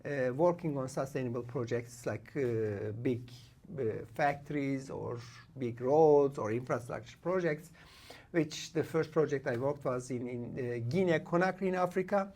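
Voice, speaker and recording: This is a man in his late forties who grew up in Turkey and whose first language is Kurdish. He is a guest in a relaxed podcast interview in a studio. He speaks in short, halting bursts, filling the gaps with "uh" and restarting sentences as he goes. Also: medium pitch. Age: late 40s. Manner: talks in short bursts with frequent "uh" pauses and restarts. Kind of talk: casual interview.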